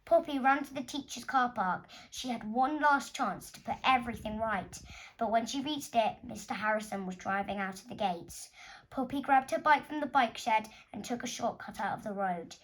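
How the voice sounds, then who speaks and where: A woman with a fairly high voice reads a children's storybook aloud. She narrates expressively, like an author sharing her own tale with young listeners. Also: normal speed, rough recording. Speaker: author reading own book.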